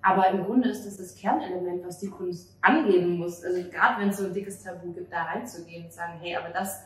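A woman's voice: fairly low pitch, fairly even intonation, fast talker. A woman in her thirties from Germany gives earnest interview answers into a handheld mic held across the table. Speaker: playwright and actress being interviewed. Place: outdoor café table on a street at night. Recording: roomy, distant mic, street-side ambient noise.

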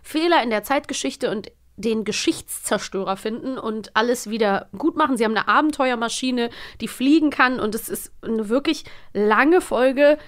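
A woman co-hosting a podcast in casual chat, engaged and quick to affirm her partner. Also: fairly high pitch. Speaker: podcast co-host.

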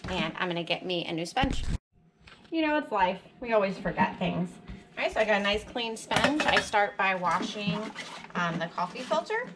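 A woman narrates her cleaning routine in a home kitchen, practical and unhurried, with the odd "um" as she works. Her voice sits at an ordinary middle pitch. Loud running water from the sink fills the background. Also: expressive. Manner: plain, practical, talks while working with fillers. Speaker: homesteader showing her routine. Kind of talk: casual how-to narration. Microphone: camera mounted above the sink. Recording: running water noise behind.

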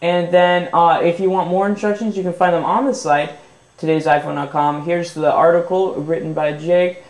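A young man hosting a casual phone how-to, his voice a little stuffy from a runny nose. He talks in a relaxed, upbeat way with plenty of fillers, his pitch sitting a touch high. The room around him is noticeably echoey. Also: moderate pace. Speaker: tech video host.

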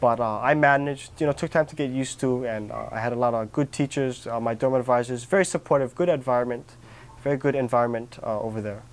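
A young man from Kauai, Hawaii, speaking earnestly and sincerely in an interview, pausing often with fillers and restarts. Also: mid-range voice. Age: young adult. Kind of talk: reflective personal interview. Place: outdoor patio with garden.

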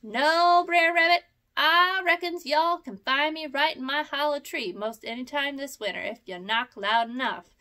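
A woman's voice: sits high, unhurried, pushed and bright, pitched above the performer's own voice. A woman reading aloud voices a jolly, teasing old male possum with a drawling folksy dialect.

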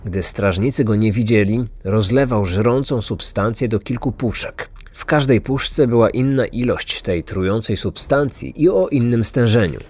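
A man's voice: mid-range voice, normal speed, hardly any pauses, darkish tone, breathy edge. A man narrating a scripted true-crime podcast. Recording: music underneath.

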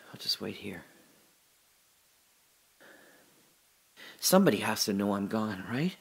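An actor playing a man trapped in a dark, airless space, muttering to himself haltingly and drifting into memories in an audio drama monologue. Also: on the low side, sing-song, creaky, slightly rough, full character voice. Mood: anxious.

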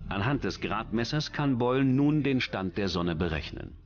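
A man's voice: mid-range voice, lively intonation, normal speed, muffled recording, slight background noise. A man reading scripted documentary narration in as the narrator. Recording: music under the voice. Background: background music.

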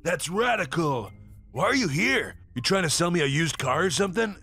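A man's voice: fairly high pitch, animated, moderate pace, bright, forceful tone, husky, faint music behind, exaggerated voice. A man playing a laid-back, goofy stoner crewmate who talks in surfer slang in a cartoon. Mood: mellow amazement. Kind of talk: animated web cartoon acting.

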